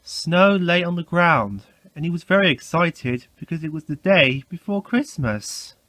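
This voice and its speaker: Male storyteller, children's narration, unhurried pace, very lively intonation, dry close sound. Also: on the high side, no echo.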